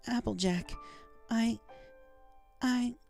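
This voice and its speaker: Man voicing a high-pitched, flustered young pegasus mare. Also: very slow, creaky, darkish tone, soft background music, exaggerated voice. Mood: pleading. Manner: hesitant, stammering. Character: flustered, lovestruck young female pegasus pony, anxious and earnest. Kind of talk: fan fiction story reading.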